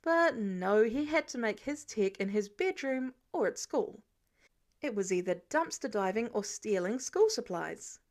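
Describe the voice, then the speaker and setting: A woman's voice: fairly high pitch, expressive, a little slow. A woman narrating fiction as a scripted audiobook reading.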